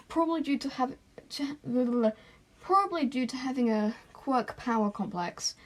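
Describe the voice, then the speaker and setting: A woman narrating fan fiction as an amateur, in an informal, self-conscious way with retakes and asides. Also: high-pitched. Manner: informal, self-conscious, often stumbles and re-says lines.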